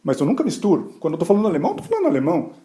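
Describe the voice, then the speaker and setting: A man from Brazil hosting a podcast, explaining ideas in a relaxed, conversational way, with rhetorical questions and brief asides where he acts out other people. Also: sits high, very fast. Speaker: podcast host. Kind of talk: explanatory solo podcast talk.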